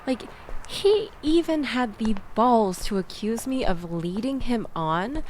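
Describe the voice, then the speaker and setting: Woman acting a slow-talking, chatty young woman. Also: fairly high pitch, animated, darkish tone, a little hiss or hum. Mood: exasperated, venting. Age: young adult. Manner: chatty, sardonic, conversational with nervous laughs. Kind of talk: intimate audio roleplay acting, addressed to listener.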